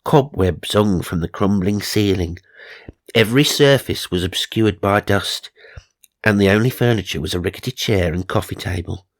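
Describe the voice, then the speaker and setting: A man's voice: sits low, normal speed, no echo. A man narrating supernatural magazine stories for comedy, mock-serious and dramatic, with wry asides. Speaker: narrator and host.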